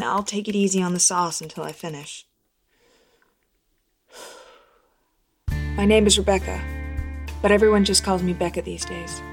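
A woman's voice: mid-range voice, a little mellow, close to an everyday voice. A woman playing a troubled woman, horror storyteller. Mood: uneasy, confessional. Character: troubled grown woman confessing a haunting childhood memory online. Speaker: guest narrator. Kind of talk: first-person horror story narration.

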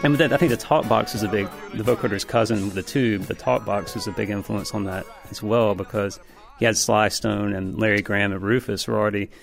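A man speaking as an interview guest, an author explaining music history in an informal, thoughtful, halting way. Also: fairly low pitch, fairly even intonation, vocal fry. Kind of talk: conversational interview.

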